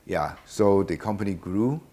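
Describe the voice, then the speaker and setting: A man of about seventy, answering interview questions hesitantly with many filler pauses. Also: low voice, dark tone. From Hong Kong. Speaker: guest.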